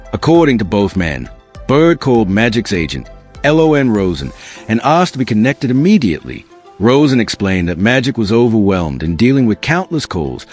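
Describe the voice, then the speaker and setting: Expressive low-voiced male documentary narrator. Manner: earnest, dramatic storytelling.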